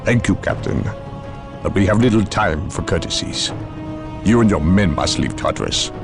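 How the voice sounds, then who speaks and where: An actor playing an imperious, coldly polite inquisitor in a video game, deliberate and condescending in his speech. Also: sits low, expressive, vocal fry, over music. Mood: grave, urgent. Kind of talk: dramatic video game cutscene acting.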